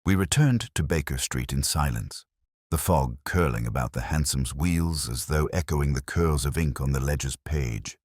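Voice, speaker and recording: A man's voice: a bass voice, normal speed, darkish tone, no echo, studio quality. A man reading a scripted detective story as a first-person narrator. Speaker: audiobook narrator.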